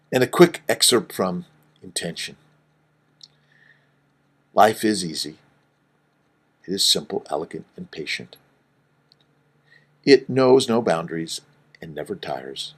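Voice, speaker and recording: A middle-aged man, a doctor, reading his own book aloud in an earnest, emphatic way, with wide swings in loudness. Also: low-pitched, husky. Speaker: fertility doctor and author.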